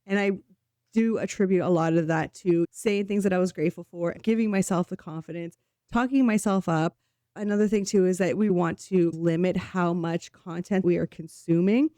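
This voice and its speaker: A woman's voice: on the low side, steady tone, normal speed, mellow, dry recording, clean studio sound. Slightly sick woman, earnest vlog talk. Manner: earnest and encouraging. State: sick, voice a little off. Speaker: host. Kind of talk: solo talk to camera.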